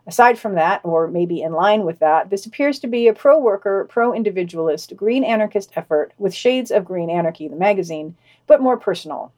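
A woman's voice: medium pitch, animated, normal speed, darkish tone. Woman reading podcast news, dryly wry.

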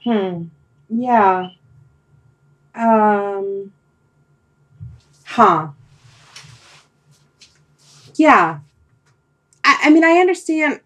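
A woman's voice: medium pitch, expressive, very slow, long pauses, fairly bright tone, low-quality recording. A middle-aged woman hosting a casual, reflective conversation, hesitant and rambling as she thinks aloud. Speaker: podcast host leading a discussion.

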